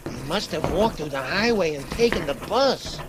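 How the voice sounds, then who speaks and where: Man acting fretful, easily rattled, animated fellow. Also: a little high, normal speed, heavy background noise, lightly acted. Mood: agitated. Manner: anxious, fussy, questioning. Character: fretful, easily rattled male owner of haunted house. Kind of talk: radio drama acting.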